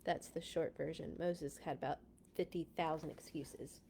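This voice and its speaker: A woman hosting a children's read-along, reading storybook text with deliberate dramatic emphasis and dropping into casual asides. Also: sits low, steady tone, dark tone, a little hiss or hum. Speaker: children's read-along host and Bible school teacher.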